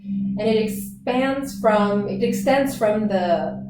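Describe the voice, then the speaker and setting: A woman teaching gentle yoga, giving calm, unhurried and soothing guided instructions. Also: medium pitch, background noise. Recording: very echoey room, distant mic.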